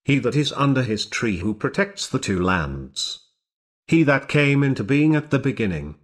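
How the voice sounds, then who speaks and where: A man's voice: medium pitch, big pitch swings, moderate pace, breathy edge. A man reading aloud in a solemn, declamatory recitation, in short separated phrases. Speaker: reader. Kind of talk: slow solemn recitation of ancient religious epithets.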